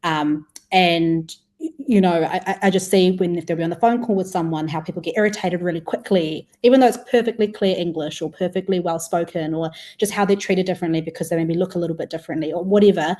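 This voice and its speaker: A woman's voice: lowish voice, fast talker, dark tone. A woman in her twenties with a New Zealand accent, chatting casually as an interview guest. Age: 20s. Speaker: podcast guest, food entrepreneur.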